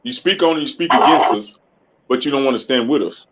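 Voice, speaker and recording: A man in casual chat with a laid-back, drawn-out way of talking. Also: medium pitch, a bit bright. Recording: dull lo-fi line.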